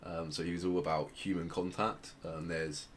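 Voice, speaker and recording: A man hosting a podcast, explaining things casually in a hesitant, measured, dry way. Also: low-pitched, level tone. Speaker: podcast host presenting outsider music. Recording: clear background noise.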